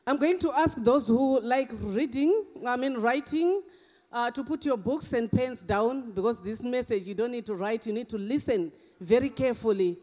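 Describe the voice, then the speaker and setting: A middle-aged man with a Zimbabwean accent, softened by years in England and Australia, delivers a fervent sermon in a strikingly high register. His words ring out under a long church reverb, and hallelujahs punctuate nearly every thought. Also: normal speed. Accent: Zimbabwean, mixed with English and Australian. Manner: emphatic, punctuated with frequent hallelujahs. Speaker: guest preacher.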